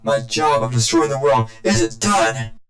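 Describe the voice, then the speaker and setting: An actor voicing a menacing, melodramatic, taunting villain bent on destroying the world in a video game cutscene. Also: male voice, on the low side, completely flat delivery, measured pace, a bit bright, roomy sound, faint music behind. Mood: gloating menace.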